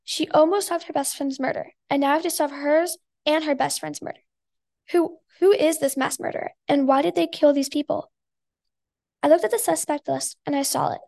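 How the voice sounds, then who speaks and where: High-pitched sixth-grade girl with creaky edges. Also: fairly even intonation, brisk, a little mellow. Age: child, about eleven or twelve. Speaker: sixth grade student guest. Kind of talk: reading own story aloud.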